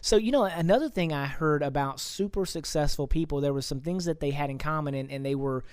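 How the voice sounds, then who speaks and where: A man hosting a chatty interview radio show, his voice sitting a bit high for a man and coming through smooth and clean. He tends to ramble, doubling back on words and restarting his thoughts. The sound is dry and close, with no room around it. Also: brisk, no echo. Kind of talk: conversational interview.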